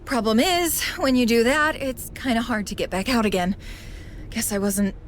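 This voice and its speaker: A woman's voice: fairly high pitch, normal speed, natural voice. A woman playing an unassuming veterinarian survivor in a game, frank, hesitant and self-deprecating. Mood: sheepish. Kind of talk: video game cutscene acting. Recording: game audio with faint background noise.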